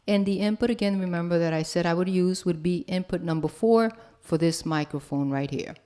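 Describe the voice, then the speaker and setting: Woman with a lowish voice walking thru a software tutorial, casual, keeps checking you follow. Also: brisk, clean tone. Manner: casual, instructional, checks in often with "okay?". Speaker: host and music production instructor. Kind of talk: software tutorial, explaining step by step. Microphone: broadcast microphone.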